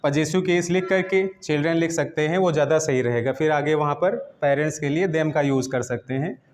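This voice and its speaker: A man with a fairly high voice and an Indian accent speaks as a grammar teacher. He explains step by step, repeats key phrases and poses short questions before answering them. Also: a little fast, a little hiss or hum. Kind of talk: classroom lesson, explaining step by step in Hindi mixed with English terms.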